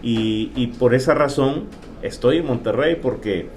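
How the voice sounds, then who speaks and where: A man, an insurance agent speaking as a guest, earnest and hesitant with many pauses. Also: mid-range voice, a loud crowd. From Honduras. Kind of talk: casual interview. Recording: faint background noise.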